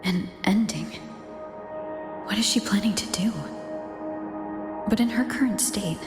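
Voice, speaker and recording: An actress playing a guarded but caring young female adventurer in a video game, soft-spoken, serious and restrained. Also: medium pitch, normal speed, music in the background, lightly acted. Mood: concerned, puzzled.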